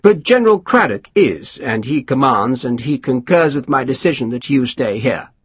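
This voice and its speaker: A man's voice: medium pitch, big pitch swings, normal speed, breathy edge, no echo. A man voicing a pompous, scheming British colonel who talks down to others in a haughty, snappish way, reading for an audiobook. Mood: snapping. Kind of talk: audiobook character voice.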